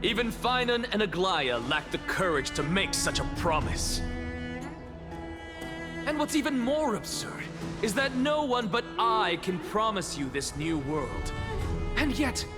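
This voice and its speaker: An actor playing an arrogant heretic professor in a video game, declaiming with theatrical scorn. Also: male voice, sits high, sing-song, a little slow, over music. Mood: scornful, mocking. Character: arrogant male scholar, theatrical heretic professor lecturing an assembly. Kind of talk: dramatic video game voice acting.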